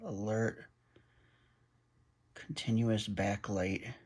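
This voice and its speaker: A man's voice: lowish voice, fairly even intonation, extremely slow, very noisy. A man casually talking through unboxing and setting up a radio while filming on a handheld phone, muttering as he works. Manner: chatty, mutters while handling gear. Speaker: radio hobbyist vlogger. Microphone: handheld phone camera.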